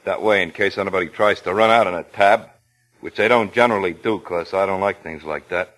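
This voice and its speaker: Low-voiced, suspicious tough bartender. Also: male voice, fairly quick, fairly bright tone, poor audio. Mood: wry, wary. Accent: American. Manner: wry, clipped, needling. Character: suspicious, tough-talking New York bartender, wary of deadbeats. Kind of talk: mid-century American radio thriller acting.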